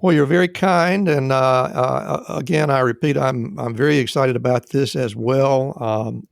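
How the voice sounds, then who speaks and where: A man hosting a podcast interview, speaking haltingly with many fillers and restarts. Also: medium pitch, steady tone, gravelly, no echo. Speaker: podcast host.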